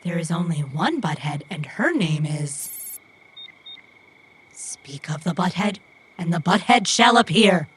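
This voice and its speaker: A woman's voice: fairly low pitch, very animated, unhurried, long pauses, a little hiss or hum. A woman playing an earnest, childlike and stubborn runner in an audio drama, with formal, literal, precise phrasing. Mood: indignant. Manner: formal, stilted, precise phrasing without contractions.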